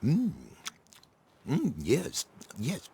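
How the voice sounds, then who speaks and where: An actor playing a fussy, self-assured court sommelier in a fantasy game, murmuring and savoring each pronouncement. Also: male voice, a little high, huge pitch swings, warm tone, breathy edge, full character voice. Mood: pensive, savoring. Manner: slow, savoring, hesitant murmurs between pronouncements.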